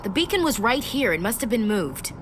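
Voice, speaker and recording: A woman's voice: on the high side, very animated, brisk, noisy background. A woman playing a dutiful, wary young soldier in a video game, speaking in a plain, matter-of-fact way.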